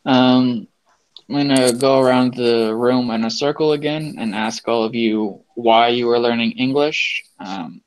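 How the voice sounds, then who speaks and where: A man leading an English conversation group, speaking in a calm, even way. He asks students questions and answers them with short acknowledgements. His voice sits at a middle pitch for a man. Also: a little slow, smooth, poor audio.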